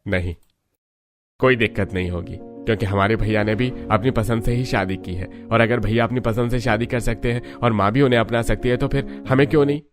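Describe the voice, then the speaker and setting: A man's voice: fairly low pitch, fairly even intonation, fairly quick, music in the background, lightly acted. A man voicing a self-assured young student son in a cartoon, reasoning persuasively.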